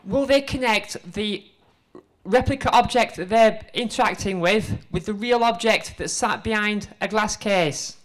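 A middle-aged woman giving an informal conference presentation into a handheld microphone, conversational, halting and self-deprecating. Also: mid-range voice. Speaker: conference speaker, museum exhibit developer. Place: indoor presentation room before a seated audience.

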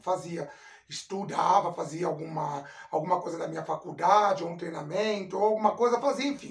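A middle-aged man with a Brazilian accent talks casually to the camera in a candid, reflective, explanatory way. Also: sits high. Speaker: vlog host, chef. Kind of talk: casual personal talk to camera. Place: small indoor kitchen. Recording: echoey room, distant microphone.

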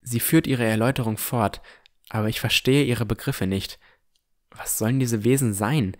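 An actor playing a puzzled but determined young armored swordsman who narrates his fantasy audio drama in a measured, introspective way. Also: mid-range voice, darkish tone, natural voice. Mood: puzzled, resolute. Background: music.

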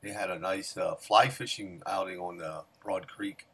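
A middle-aged man giving casual, excited commentary while fishing outdoors by a creek, recorded on a head-mounted action camera. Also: low-pitched, breathy edge. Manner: excited exclamations, folksy talk. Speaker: fishing vlogger. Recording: outdoor action camera with loud water noise. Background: rushing water noise.

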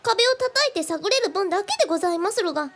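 A woman's voice: very high voice, lively intonation, quick pace, a bit bright, heavily acted. A woman voicing a precocious little girl in a radio drama, with archaic, ceremoniously polite, inquisitive speech. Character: precocious genius little girl with quaint old-fashioned formal speech.

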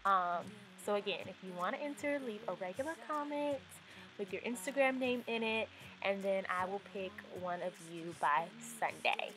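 A woman's voice: mid-range voice, lively intonation, normal speed, fairly bright tone, slight background noise. A young woman narrating her home decorating vlog in a casual, upbeat voice-over, full of ums and likes. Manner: chatty, upbeat, with fillers like um and like. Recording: faint music underneath.

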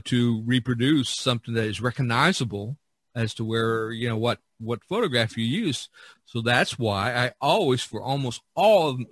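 A middle-aged or older man hosting a casual podcast discussion, talking haltingly with lots of repetition. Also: mid-range voice, fairly bright tone, no room sound. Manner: halting, repetitive, peppered with 'you know'. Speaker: podcast host.

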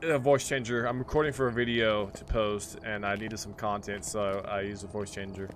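A man's voice: on the low side, steady tone, normal speed, background noise. A young man doing casual, sweary gaming commentary as a streamer. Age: young adult. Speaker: video game streamer. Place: indoor room, seated at a desk. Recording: game audio behind.

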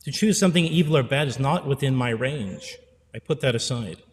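Earnest priest preaching in reverberant church. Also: male voice, mid-range voice, expressive, a little fast. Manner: earnest, pastoral, repeats phrases for emphasis. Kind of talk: sermon. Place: church sanctuary. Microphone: stand mic at pulpit.

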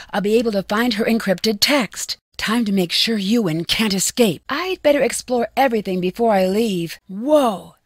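A woman playing a plucky, quick-witted young female detective in a video game, thinking aloud in clearly spoken one-liners. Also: medium pitch, dry recording, clean studio sound, close to an everyday voice. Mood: musing, determined, then surprised. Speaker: game protagonist.

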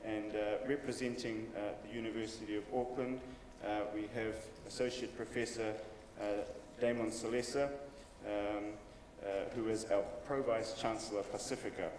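A man in his forties emcees a conference, easygoing and wry, stumbling through plenty of ums and uhs. His slightly low voice sits a little back from the mic. The sound rings through a big reverberant hall with faint hiss underneath. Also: normal speed, far from the mic. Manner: relaxed, joking, many ums and uhs. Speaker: event MC. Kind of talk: housekeeping announcements at a summit. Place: conference room, at a lectern.

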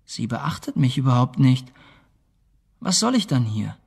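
An actor playing a tender, melancholy young prince in love, in a fairy-tale audio play. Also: male voice, mid-range voice, big pitch swings, a little slow, vocal fry, a little mellow, breathy edge, full character voice. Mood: dejected.

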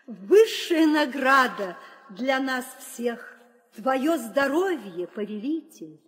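A woman's voice: high-pitched, animated, takes it slowly. An actress playing a deferential, composed younger queen with a formal, restrained manner in a theatrical audio play. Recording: reverberant 1960 audio play record.

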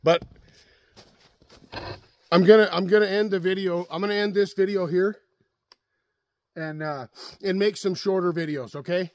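A man's voice: high-pitched, fairly even intonation, moderate pace, pauses often, no echo. Folksy man in a snowy forest. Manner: folksy, repeats himself, asks the viewer "right?". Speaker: chainsaw vlogger. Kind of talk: casual outdoor show-and-tell. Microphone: helmet-mounted action camera.

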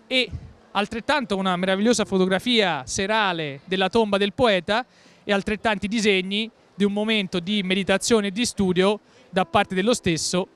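A man's voice: high voice, fairly bright tone, smooth. A man in his thirties presenting at an exhibition stand with a handheld microphone, effusive and full of lofty praise. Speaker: costumed presenter. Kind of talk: enthusiastic event reporting.

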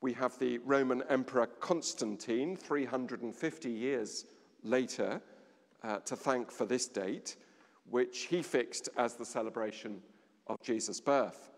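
Unhurried preacher in a reverberant church. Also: male voice, medium pitch. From England. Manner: measured preaching with dramatic pauses and a dropping voice. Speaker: vicar. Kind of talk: sermon. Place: large stone church interior. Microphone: standing at a lectern, far camera.

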